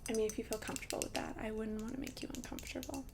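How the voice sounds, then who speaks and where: A woman voicing a friendly, considerate young vampire in a chatty ASMR roleplay, speaking in a soft, breathy half-whisper. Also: fairly high pitch, steady tone, very fast, heavy background noise. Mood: casual, eager. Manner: chatty, hesitant, reassuring, casual. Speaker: ASMR performer.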